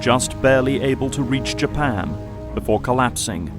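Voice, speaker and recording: A man's voice: medium pitch, lively intonation, normal speed. Male dramatic narrator over prominent music.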